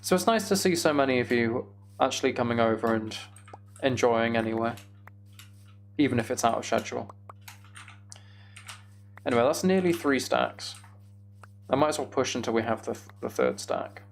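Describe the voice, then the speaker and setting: A man's voice: mid-range voice, normal speed, gravelly, long pauses. A man streaming a video game, chatting casually and thinking aloud in a rambling way.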